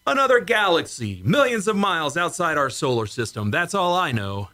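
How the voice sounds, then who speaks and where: A man acting in an old-time radio drama as a shaken, insistent rocket test pilot, speaking urgently and haltingly. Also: on the high side, very animated, pushed and bright, no room sound. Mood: uneasy, defensive.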